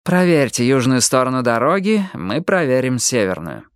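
A woman's voice: very deep voice, very animated, moderate pace, no room sound, studio quality. A woman voicing a self-assured young man in an audiobook, nonchalant and slyly condescending. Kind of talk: audiobook character voice.

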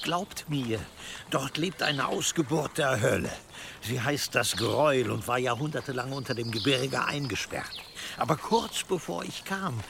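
Anxious servile churchman in a medieval radio play, gravelly creak, hesitant, eager to please, noisy background. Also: medium pitch. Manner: deferential, hesitant, stammering under pressure. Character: nervous, obsequious male medieval cleric, eager to please. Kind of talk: German radio drama acting in a medieval setting.

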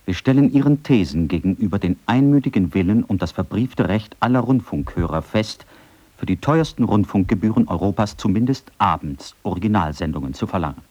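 An actor voicing a 1930 Berlin radio figure, reading formal period texts with emphatic declamation. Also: male voice, fairly low pitch, expressive, fairly quick, darkish tone, no room sound. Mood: indignant. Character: 1930 Berlin radio critics and writer addressing listeners. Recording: dull, slightly muffled cassette recording.

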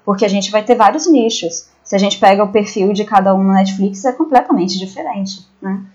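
Brazilian female panelist, medium pitch, casual discussion, slightly muffled lo-fi recording. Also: fairly quick, a little mellow. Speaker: podcast panelist. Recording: dull lo-fi sound.

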